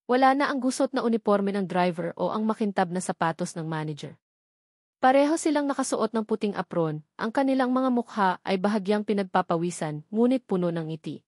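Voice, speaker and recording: A woman's voice: mid-range voice, lively intonation, a little fast, a little mellow, no echo. Woman narrating a dramatic story, dry close sound.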